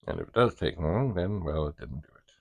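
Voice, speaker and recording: A man hosting a hobby demonstration, thinking aloud in a casual, halting way and muttering asides to himself. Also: sits low, expressive, vocal fry. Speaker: vintage computer hobbyist host.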